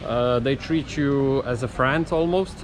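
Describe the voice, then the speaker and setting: A man's voice: medium pitch, a little slow. A man in his thirties chatting casually to camera as a travel vlogger, on a camera held at arm's length. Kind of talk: casual vlog talk to camera. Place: outdoor city streets and busy covered bazaar. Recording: music under the voice.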